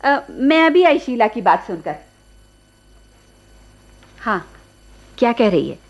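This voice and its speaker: High, lively, coaxing housewife. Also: female voice, slow pace, exaggerated voice. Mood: matter-of-fact. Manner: chatty, coaxing. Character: practical, gossipy middle-aged wife and mother, quietly scheming. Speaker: radio actor. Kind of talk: radio drama dialogue.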